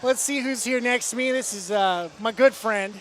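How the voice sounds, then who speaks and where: A man's voice: very high-pitched, moderate pace, a bit bright, a little hiss or hum. A man commentating a college pregame show in a gymnasium, upbeat, loose and jokey. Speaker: sports commentator.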